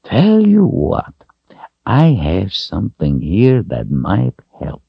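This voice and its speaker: A man acts out an elderly, kindly wizard in a fantasy storybook. The voice is low and slightly husky, soft-spoken and unhurried, with a swooping, reassuring gentleness. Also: wildly swooping pitch, no room sound, lo-fi sound, heavily acted. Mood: warm encouragement. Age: older.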